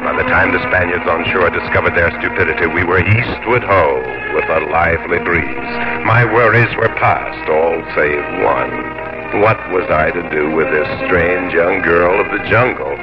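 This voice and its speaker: An actor playing a gallant, gruff English sea captain in a 1950s radio drama, narrating in a measured, declamatory way. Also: male voice, low voice, natural voice. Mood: brisk narrating. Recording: muffled 1950s radio broadcast, music behind.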